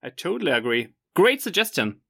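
Man hosting a podcast, high-pitched, very lively, quick affirmations, faint music under. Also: gravelly. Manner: friendly, brief affirmations. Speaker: podcast host. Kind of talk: casual interview.

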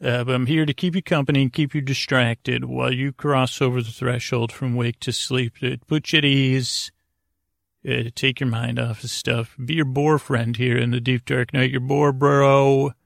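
A man hosting a bedtime podcast in a creaky, lulling voice, meandering and halting as he repeats and interrupts himself. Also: medium pitch, little pitch movement, no room sound, clean studio sound. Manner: lulling, soothing. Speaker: podcast host. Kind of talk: rambling, improvised bedtime podcast monologue.

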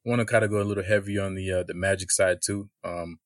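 Low-voiced, easygoing man as a guest. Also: steady tone, fast, no echo, studio quality. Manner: easygoing, laughs between points. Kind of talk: casual chat.

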